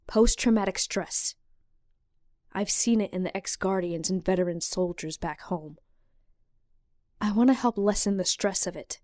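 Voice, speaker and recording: A woman's voice: mid-range voice, a little slow, pauses often, darkish tone, no echo, clean studio sound, natural voice. A woman playing a caring but firm healer in an audio drama. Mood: concerned.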